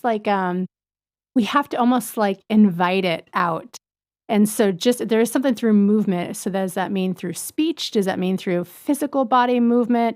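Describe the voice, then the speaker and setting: A woman in her fifties co-hosting a podcast, talking things through thoughtfully and often pausing to search for words. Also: medium pitch, a little mellow, no room sound, clean studio sound. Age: 50s. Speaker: podcast co-host. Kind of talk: reflective casual chat.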